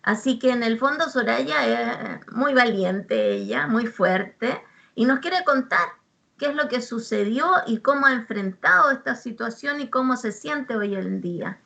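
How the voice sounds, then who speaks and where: A woman with a Chilean accent, hosting a spiritual interview in a gentle, warm way. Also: fairly high pitch, lively intonation, gravelly, highs cut off. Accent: Chilean Spanish. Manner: slow, gentle and warm, laughs lightly. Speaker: host, spiritual guide.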